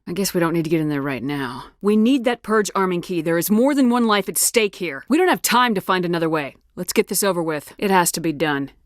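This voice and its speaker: Casting: woman to play hardened female military commander for a sci-fi game. Lowish voice, firm and clipped, dry close studio sound. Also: lively intonation, fairly quick, few pauses, no room sound, lightly acted. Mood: commanding, forceful. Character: tough, commanding female space marine commander, adult, no-nonsense. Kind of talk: scripted video game dialogue acting.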